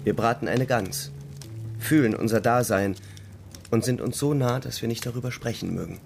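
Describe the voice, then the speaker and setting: An actor playing a young soldier who narrates a war radio play in terse, measured, reflective short sentences. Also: male voice, medium pitch, gravelly, close to an everyday voice. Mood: tender, sombre. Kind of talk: literary radio play narration, first person present tense. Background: music.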